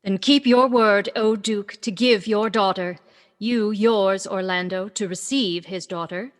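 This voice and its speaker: A woman playing a self-assured young woman disguised as a youth in a Shakespearean radio play, brisk and declamatory. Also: medium pitch, close to an everyday voice. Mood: commanding. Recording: long echoing reverb.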